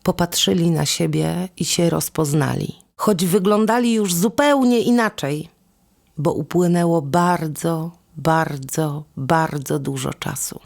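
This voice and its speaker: A woman narrating a folk tale as a storyteller, with measured, deliberate phrasing and instrumental music behind her. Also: sits low, creaky, darkish tone. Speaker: storyteller and host of a podcast series.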